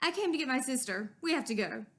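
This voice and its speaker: A woman reading a storybook aloud, voicing a steady, cheerful young boy who talks in a calm, reassuring way. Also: high-pitched, fast talker.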